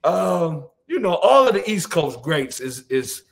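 Male rapper guest, 40s, American accent, fairly high voice, slangy storyteller in relaxed video-call interview chat. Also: measured pace, creaky. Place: dim large room indoors, on a video call.

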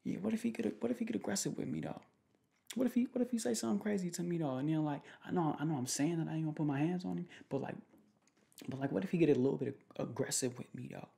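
Man acting as a mellow, gravelly protective boyfriend. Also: fairly high pitch, rapid-fire, voice pushed up. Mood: insistent, protective. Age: young adult. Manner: slangy, repetitive, teasing, soft-spoken. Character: protective, jealous young boyfriend soothing his girlfriend. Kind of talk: ASMR boyfriend roleplay, improvised casual chat. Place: small room at home, indoors.

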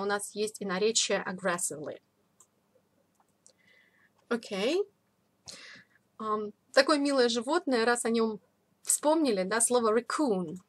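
Female English teacher, higher-pitched voice, informal and explanatory, repeats key words slowly. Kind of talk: explanatory vocabulary lesson. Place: voice-over of a screen recording.

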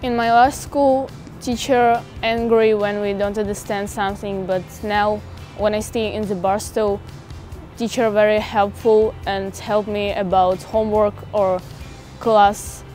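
A teenage girl from Ukraine with a Ukrainian accent, answering interview questions in simple, halting English. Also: mid-range voice, level tone, darkish tone, soft background music. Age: teens. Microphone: lapel.

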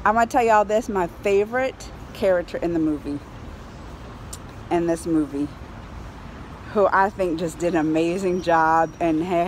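A woman in her thirties chatting casually to camera as a movie reviewer, emphatic and excitable, repeating phrases for effect. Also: lowish voice, rough recording. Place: outdoors on a high balcony over a city. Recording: outdoor city noise, passing cars. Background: urban traffic ambience.

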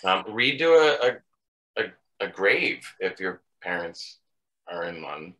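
A man's voice: low-pitched, very animated, slow, a bit bright, poor audio. A man on a video call, rambling in an offhand, dryly funny way. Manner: rambling, offhand, dry humor. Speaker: band member. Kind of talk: casual chat.

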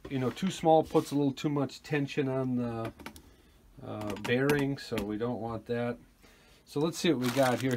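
A man giving casual, rambling commentary as he works hands-on at a workbench, thinking aloud informally. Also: mid-range voice. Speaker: repair video host, technician. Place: small indoor workshop at a workbench. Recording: clear background noise, handling rustle.

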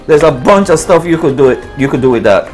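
A man's voice: a little high, expressive, quick pace, faint music behind. A middle-aged man, chatting casually as a show cook, jokey and repeating his phrases. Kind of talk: casual cooking chat. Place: TV studio kitchen set.

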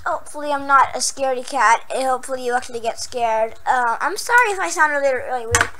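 A young woman playing a horror game, hoarse from losing her voice, jumpy and prone to yelps and squeals when startled, then chatty again. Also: sits high, fast, bright, forceful tone. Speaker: gaming channel host. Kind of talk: Minecraft horror map let's play.

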